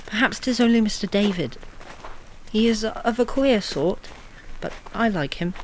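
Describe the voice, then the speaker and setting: A man's voice: high voice, animated, a little slow, slight background noise. A man playing a kind, polite teenage village boy, hesitant and earnest, in a period audio drama. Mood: shy, uneasy.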